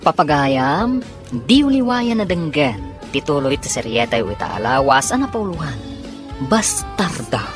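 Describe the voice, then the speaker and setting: A woman's voice: sits low, big pitch swings, a little slow. A woman announcer giving dramatic scripted narration for a radio drama serial over music. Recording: music bed under the voice. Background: dramatic theme music.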